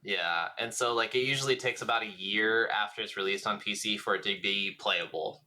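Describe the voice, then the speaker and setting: A man's voice: on the low side, a little fast, pushed and bright. A man co-hosting a gaming podcast, talking in an informal, conversational way. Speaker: podcast co-host. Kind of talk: casual gaming podcast chat.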